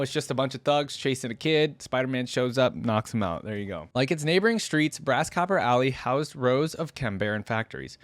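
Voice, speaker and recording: A man's voice: mid-range voice, no room sound. A man reacting as he reads a story aloud, emphatic in delivery, dropping in casual commentary. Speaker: host of a reaction channel.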